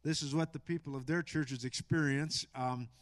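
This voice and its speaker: A middle-aged man, a pastor, introducing guests and leading a spoken prayer in church, pausing often with fillers. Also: fairly high pitch, steady tone. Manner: halting, with frequent filler pauses. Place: church sanctuary.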